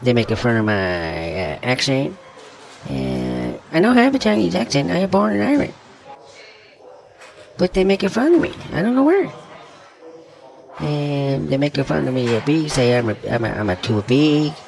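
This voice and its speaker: A man playing a brash middle-aged woman politician who rambles in broken, halting English in a mock interview sketch. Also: mid-range voice, sing-song, vocal fry. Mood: aggrieved.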